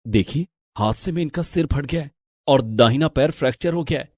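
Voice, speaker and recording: A man's voice: medium pitch, a little fast, dry recording, close to an everyday voice. Man doing doctor in a story, formal n matter of fact, dry recording. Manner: formal, advising.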